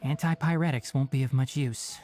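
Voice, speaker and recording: A voice actor playing a calm, brisk young male forest ranger and healer who is caring but firm. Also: medium pitch, slight background noise, close to an everyday voice. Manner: brisk, level-headed and caring. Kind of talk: video game voice acting.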